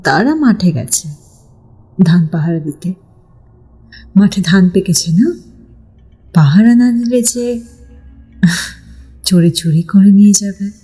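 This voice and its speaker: A woman's voice: medium pitch, lively intonation, takes it slowly, long pauses, mellow, husky, faint music behind, poor audio. A woman playing a mysterious, alluring young village woman in a ghost story, coaxing and homely in manner. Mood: matter-of-fact. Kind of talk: dramatised Bengali ghost story.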